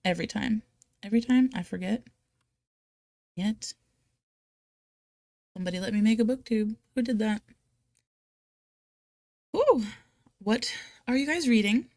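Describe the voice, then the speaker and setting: Rhode island lady doing a casual livestream, lots of vocal fry, kinda scattered and dramatic, dry room. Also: medium pitch, moderate pace, pauses often, darkish tone, no room sound. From United States, Rhode Island. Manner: chatty, self-deprecating, giggly, scattered; exclamatory, playfully dramatic. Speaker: live stream host of reading sprints. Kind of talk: casual live chat with viewers.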